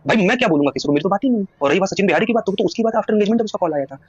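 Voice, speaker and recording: A man's voice: high voice, lively intonation, racing, darkish tone. A man talking casually and insistently at length on a recorded phone call, trying to persuade the other person. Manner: insistent, long-winded, persuasive.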